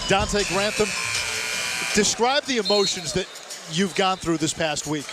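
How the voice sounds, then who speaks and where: A man announcing a college basketball broadcast in a measured, polished delivery. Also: sits high, animated, low-quality recording. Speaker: play-by-play announcer. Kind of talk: broadcast sports narration. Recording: music bed under voice.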